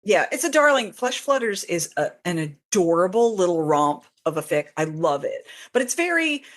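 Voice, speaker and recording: A woman's voice: on the low side, very animated, normal speed. A woman chatting casually as a podcast guest and fan fiction author, telling stories in a rambling, talkative way. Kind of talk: casual interview chat.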